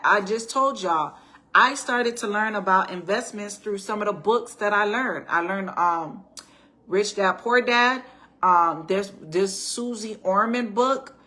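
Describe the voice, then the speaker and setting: A woman with a bright, forward voice and a hint of rasp talks loosely and personally to her viewers. She is middle-aged and chatty, with frequent restarts and check-ins like "right?". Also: mid-range voice. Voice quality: raspy at times. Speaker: faith and life-planning vlogger. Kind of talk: casual personal storytime talk.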